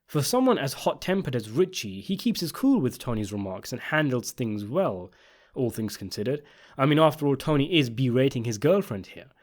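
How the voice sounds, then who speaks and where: A man delivers scripted opinion commentary in a mid-pitched voice. His intonation is very lively, rising and falling widely as he makes his points. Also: moderate pace. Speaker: video essay narrator.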